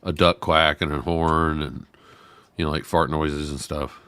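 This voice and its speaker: A man's voice: rumbling low, fairly even intonation, measured pace, sharp tone, clear, resonant tone, no room sound. A man co-hosting a podcast who rambles casually, with lots of fillers and restarts. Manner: rambling, filler-heavy talk. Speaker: podcast co-host.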